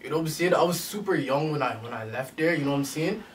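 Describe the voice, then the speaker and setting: A man's voice: on the high side, lively intonation, fast talker, far from the mic, slight background noise. A young man, a rapper, chatting casually in an interview, full of street slang and repeated "you know what I'm saying" tags. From Detroit, raised in Durham Region, Ontario. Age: young adult. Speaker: rapper guest. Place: small studio room, seated on a couch.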